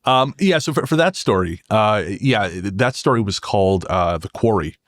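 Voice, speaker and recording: A man with a fairly low voice talks casually as a podcast guest and writer. He is earnest and halting, often rephrasing as he goes. The sound is very dry and close, with no room echo. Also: animated. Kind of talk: casual podcast conversation, storytelling.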